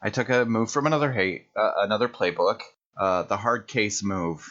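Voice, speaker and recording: A man with a lowish voice talks casually as a player in a tabletop roleplaying game. He works through his moves and rolls out loud. The recording is a little dull and lo-fi. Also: moderate pace.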